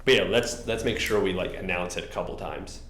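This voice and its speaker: A man on a town committee, eager and rambling, who says he has a loud voice. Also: sits low, fairly quick. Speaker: committee member. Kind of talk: public meeting discussion. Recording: echoey meeting room, faint noise.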